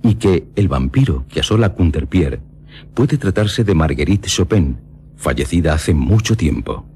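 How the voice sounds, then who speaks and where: A man reading scripted radio narration in a solemn, measured storytelling manner. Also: deep voice, sing-song, warm tone, a little hiss or hum. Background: music behind the voice.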